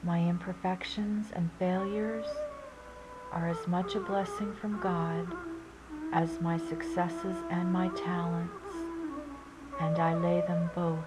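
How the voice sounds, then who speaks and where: A woman narrator reading inspirational sayings in a measured, deliberate and solemn manner. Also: lowish voice, level tone, poor audio. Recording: loud music under the voice. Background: Indian classical flute music.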